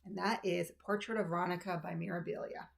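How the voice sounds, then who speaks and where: Woman talking stitching into her tablet at home, low-ish voice with some fry, lots of ums. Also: fairly even intonation, quick pace. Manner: chatty, rambling, with frequent ums and restarts. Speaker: cross stitch vlogger. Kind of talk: casual chat to camera. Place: room at home. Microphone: tablet's built-in mic, no external microphone.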